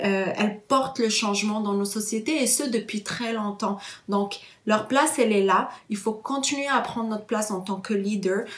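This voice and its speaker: A woman's voice: mid-range voice, reverberant. A woman in her thirties, originally from Morocco, giving a personal testimony in an earnest way with many hesitations and fillers. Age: 30s. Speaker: interviewee.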